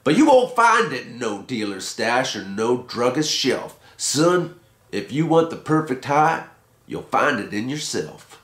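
A man's voice: mid-range voice, big pitch swings, unhurried, a bit bright, echoey room. A man voicing a weary, wry old mountaintop guru in a recited story poem.

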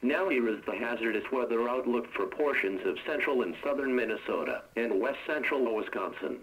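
A man's synthetic voice reading a weather forecast for a weather radio broadcast in a steady, even, monotone delivery. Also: mid-range voice, a little fast, poor audio.

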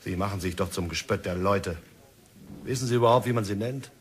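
A man's voice: fairly low pitch, brisk, close to an everyday voice. Company negotiator, played by a man, coaxing, jovial, radio drama. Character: smooth persuasive company negotiator, middle-aged man.

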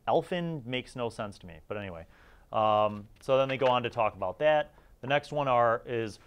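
A middle-aged man giving casual, opinionated review commentary in an informal, conversational way as the host of a series. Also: mid-range voice.

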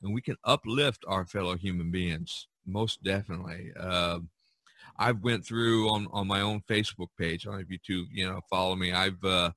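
A man hosting a casual podcast discussion, talking in a rambling, halting way with repeated phrases. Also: lowish voice, sharp tone, no room sound. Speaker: podcast host and artist.

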